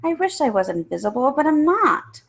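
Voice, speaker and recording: A woman's voice: high-pitched, very animated, moderate pace, gravelly. A woman reading a first-person children's story aloud, emphatically and with acted-out feeling. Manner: emphatic, acts out the characters.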